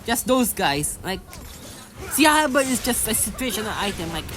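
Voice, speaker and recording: A young woman talks through a game tutorial voice-over at an ordinary middle pitch, full of ums, likes and false starts. She drifts into an exasperated rant, and soft music plays faintly behind her. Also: very animated, moderate pace. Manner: rambling, filler-heavy, restarts a lot; exasperated ranting. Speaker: mobile game tutorial creator. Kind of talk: casual gameplay voice-over. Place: home voice-over recording. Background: faint background music.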